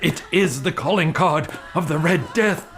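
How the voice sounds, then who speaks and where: An actor playing a solemn, deferential viceroy with a formal, florid, portentous manner in a gothic audio drama. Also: male voice, fairly high pitch, a little slow, natural voice. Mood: alarmed. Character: solemn, theatrical viceroy courtier, deferential and ominous. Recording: loud music behind.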